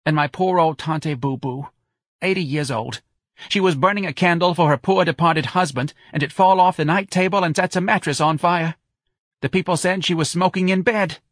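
Man voicing a high, plaintive Southern mother. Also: normal speed, fairly bright tone, no echo, clean studio sound. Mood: plaintive, aggrieved. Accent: New Orleans. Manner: plaintive, drawling, folksy. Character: emotional, pliable middle-aged Southern mother, woman. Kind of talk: audiobook character voice.

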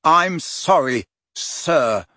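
A man's voice: on the high side, huge pitch swings, slow, fairly bright tone, breathy edge, no echo, clean studio sound, pitched above the performer's own voice, full character voice. A man reading the part of a stubborn, plain-spoken teenage boy in a storybook, firm and earnest. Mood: defiant.

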